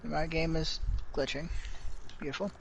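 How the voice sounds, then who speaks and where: Laid-back man with a higher voice. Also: level tone, a little slow, creaky, slight background noise. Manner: laid-back, hesitant, many fillers. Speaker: podcast co-host reading chat questions. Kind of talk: casual nerdy chat.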